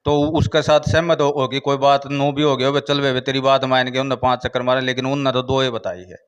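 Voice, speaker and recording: A man with a Haryanvi accent, a village head giving an informal interview account, haltingly, with repeated filler phrases. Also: medium pitch, flat delivery, smooth, highs cut off.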